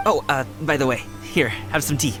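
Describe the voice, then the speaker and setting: A man's voice: a little high, fairly quick, close to an everyday voice. An actor voicing a cheerful, eager and generous young man with an upbeat, friendly manner. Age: young adult. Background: game music.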